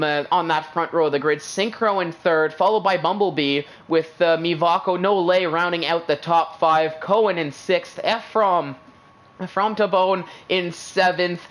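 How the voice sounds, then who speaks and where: A man's voice: fairly high pitch, fairly bright tone. Casting: upbeat male play-by-play voice for sim racing streams, loose and chatty. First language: English. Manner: chatty, filler-heavy, upbeat. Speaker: esports racing commentator. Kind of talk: live race commentary.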